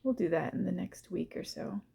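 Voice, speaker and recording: A woman gently leading a guided visualization in the first person, soft and unhurried, pausing between short phrases. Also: on the low side, animated, vocal fry, husky.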